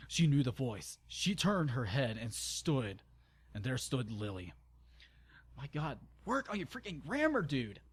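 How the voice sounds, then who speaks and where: A man's voice: medium pitch, husky. A man narrating an amateur dramatic story reading, informal and stumbling, with exasperated, sarcastic asides. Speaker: narrator and channel host.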